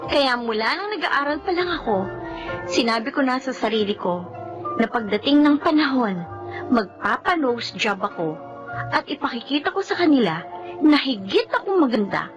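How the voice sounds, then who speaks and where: Sassy, high-pitched young Filipina. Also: female voice, sing-song, normal speed, highs cut off, over music. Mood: resentful, determined. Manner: sassy, exclamatory, mixes in English. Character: vain, sharp-tongued young office woman insecure about her nose. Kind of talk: Filipino TV drama acting.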